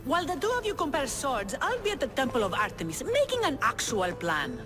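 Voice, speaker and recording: An actress playing an impatient, sharp-tongued female rebel leader in a video game, scolding and sarcastic. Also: high voice, huge pitch swings, a little fast, in a large hall, over music. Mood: exasperated. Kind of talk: video game cutscene acting.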